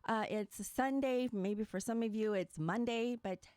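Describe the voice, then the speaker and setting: A woman hosting a casual livestream podcast as a variety streamer, upbeat and excitable, with lots of ums and restarts. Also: a little high, moderate pace, no echo.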